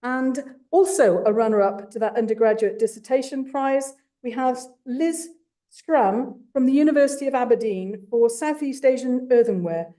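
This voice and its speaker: A woman's voice: a little high, moderate pace. Enthusiastic woman presenting awards. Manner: warm, enthusiastic, with small asides. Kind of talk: formal awards speech.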